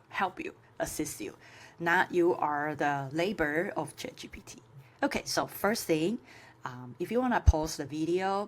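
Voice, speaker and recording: Clear background noise sits behind a woman with a low-pitched voice and a Chinese accent. She is a native Chinese speaker teaching an informal screen-share tutorial, phrasing things haltingly with many filler sounds. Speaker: course instructor.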